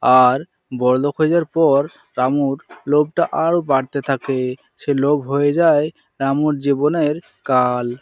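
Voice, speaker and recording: A man's voice: medium pitch, fairly even intonation, unhurried, no echo, highs cut off. A man narrating a scripted cartoon story as a storyteller.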